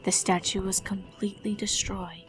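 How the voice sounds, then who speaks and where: A woman's voice: medium pitch, flat delivery, moderate pace, in a whisper, faint music behind. A woman reading fan fiction aloud as a scripted story narrator.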